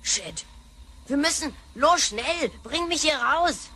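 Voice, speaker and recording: An actress playing a brash, spoiled, jumpy young granddaughter from the future in a sci-fi radio play, slangy and excitable. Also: female voice, high voice, takes it slowly, background noise, heavily acted. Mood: fearful, snappish. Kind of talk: sci-fi radio drama acting.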